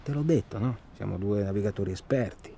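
A man's voice: on the low side, fast, dark tone. A man acting in a radio drama as a well-spoken, self-absorbed hunting traveller who talks insistently and at length. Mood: wry, talkative. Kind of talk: naturalistic radio drama dialogue. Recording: clear ambient noise behind.

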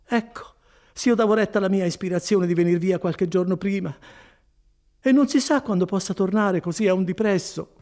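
A man's voice: sits high, fairly quick, a little mellow, pitched above the performer's own voice. A man voicing a distressed, middle-aged peasant woman in an audiobook, with wailing, pleading exclamations. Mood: regretful. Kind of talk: dramatised audiobook reading.

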